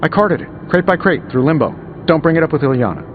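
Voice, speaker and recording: An actor playing a wisecracking, easygoing wealthy superhero inventor in a video game, talking in a glib, wry, conversational way. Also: male voice, on the high side, expressive, quick pace, narrow, tinny audio, a little hiss or hum, natural voice. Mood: wry, breezy. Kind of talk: video game dialogue acting.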